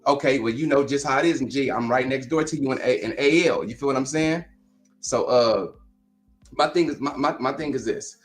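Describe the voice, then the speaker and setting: A man from Long Beach, California, calling into a live boxing debate and arguing his point insistently in quick bursts. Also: mid-range voice, faint music behind. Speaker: guest caller. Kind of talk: heated live-stream debate.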